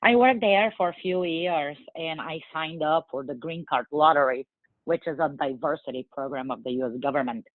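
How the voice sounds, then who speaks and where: A woman in her forties from Bulgaria, speaking English with a Bulgarian accent, answering interview questions in an earnest, measured way with frequent fillers. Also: deep voice, dry recording. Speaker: guest. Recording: tinny phone-like line.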